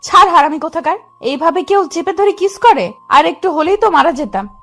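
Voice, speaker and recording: Woman acting a high-voiced bossy young wife. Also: animated, brisk, fairly bright tone, soft background music, exaggerated voice. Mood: playfully threatening. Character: bossy, possessive young wife, scolding yet affectionate. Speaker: female lead of an audio love story. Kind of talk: dramatized romantic audio story.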